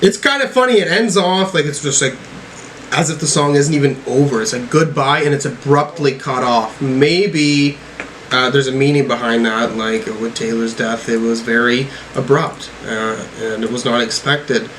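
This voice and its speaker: A man giving a casual spoken album reaction, thinking aloud in a halting, hesitant way. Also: mid-range voice, fairly bright tone, slight background noise, low-quality recording. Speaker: music reaction reviewer.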